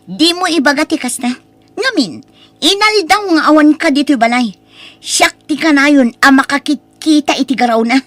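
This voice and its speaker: Woman acting stern high-voiced Ilocano wife. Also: expressive, normal speed, a bit bright, dry recording. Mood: angry, accusing. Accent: Ilocano Filipino. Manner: scolding, emphatic. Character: stern, nagging Filipino wife and household mistress. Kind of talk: radio-style audio drama acting.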